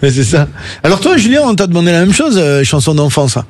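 A man's voice: fairly high pitch, sing-song, fast talker, darkish tone. A man hosting a radio interview, chatty and teasing. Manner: chatty, teasing, laughs often. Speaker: radio host.

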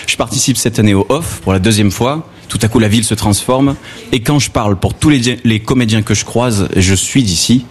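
A man's voice: lowish voice, a little fast. A male comedian from southern France with a Gard accent, performing a wry stand-up monologue and addressing the audience directly. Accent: southern French, Gard. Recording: faint background noise.

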